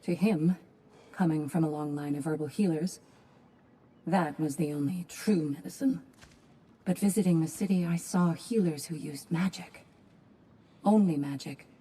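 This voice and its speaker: Calm valkyrie healer in a game, dark breathy voice, slow deliberate storytelling. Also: female voice, sits low. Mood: reflective. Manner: composed, deliberate. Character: composed female Valkyrie, former village healer, telling her past.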